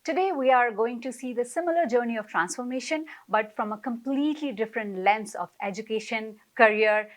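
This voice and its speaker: Woman hosting a studio interview. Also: fairly high pitch. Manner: measured, formal phrasing with frequent fillers. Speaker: talk show host. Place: television studio.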